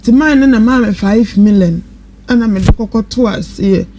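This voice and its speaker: Female talk show guest, Ghanaian accent, dark mellow timbre, unscripted storytelling, faintly noisy studio sound. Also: fairly high pitch, normal speed. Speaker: guest telling her personal story. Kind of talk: spontaneous personal account in Twi radio discussion.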